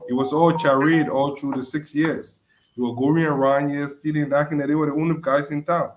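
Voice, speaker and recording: A man's voice: a little high, flat delivery, fairly quick, rough recording. A man calling into a political talk show, speaking emphatically and insistently. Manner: emphatic, builds to insistent demands. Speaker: caller. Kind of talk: heated call-in debate.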